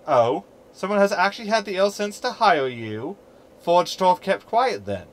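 Snarky hologram sidekick , male actor, sci-fi audio comedy. Verbose, glib, sardonic; higher-set voice with lots of swing. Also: sing-song, unhurried. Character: snarky, meddling male hologram ship companion. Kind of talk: sci-fi sitcom audio drama acting.

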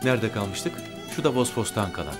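Male actor, reassuring middle-aged father, TV drama, expressive pitch, background music. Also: a little fast, close to an everyday voice. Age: 50s. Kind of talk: TV drama acting. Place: indoor room in a house, on set. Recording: music under the dialogue.

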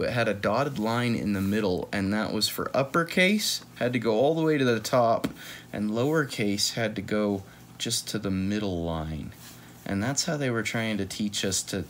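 A man's voice: on the low side, slight background noise. An American man reading a picture book aloud to kids in a casual, playful way with joking asides.

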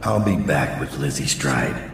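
An actor playing a middle-aged, world-weary assassin leader in a video game, grim, measured and dryly threatening, with a gravelly, very deep voice. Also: male voice, animated, big-room sound, noisy background, exaggerated voice. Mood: grim, businesslike. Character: gravelly, world-weary, menacing master assassin leading a gang. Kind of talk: video game character acting.

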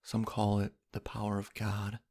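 Male sleep-hypnosis narrator: lowish, husky with some fry, soft, unhurried delivery with long pauses. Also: completely flat delivery. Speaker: guided meditation narrator. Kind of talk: sleep hypnosis guided meditation, slow scripted narration.

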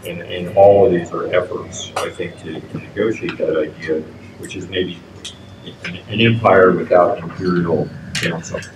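An older man speaking as a panelist, rambling and halting with many fillers. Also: low-pitched, fairly even intonation. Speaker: conference panelist. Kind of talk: academic panel discussion. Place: outdoor conference terrace. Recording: distant echoey mic with background noise.

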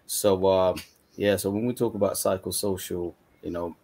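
Ugandan-born man, very low voice, loose panel-guest delivery over video call. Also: fairly even intonation, moderate pace, darkish tone, a little hiss or hum. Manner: conversational, frequent "you know" fillers. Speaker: guest mentor. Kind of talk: casual panel discussion. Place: dim room indoors.

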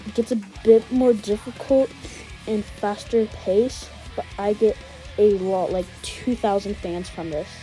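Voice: mid-range voice, steady tone, measured pace, dark tone, soft background music, poor audio. A gamer giving casual, slangy gameplay commentary and often repeating words and phrases. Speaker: gaming video host.

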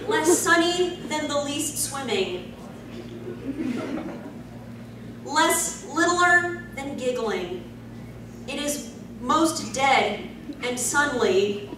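An anxious, awkward anime character, played by a young woman hosting a fan panel. The voice is high and halting, with a giggly edge. It comes from far off in an echoey, noisy hall. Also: full character voice. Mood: mock-solemn. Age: young adult. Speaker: fan convention panel host. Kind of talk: live panel word game and mock-dramatic poetry reading. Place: convention panel room, handheld mic through PA. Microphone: handheld mic over speakers, distant camera.

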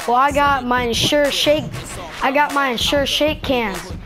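A young gamer with a high-pitched voice chats casually on a livestream. The talk is slangy and rambling, with faint music playing behind it. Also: unhurried. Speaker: gaming streamer. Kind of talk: casual gameplay stream chat.

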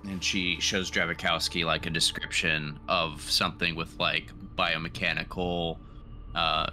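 Deep, bright-voiced male tabletop player. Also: flat delivery, faint music behind. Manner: halting, searching for words. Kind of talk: casual in-game narration of own character.

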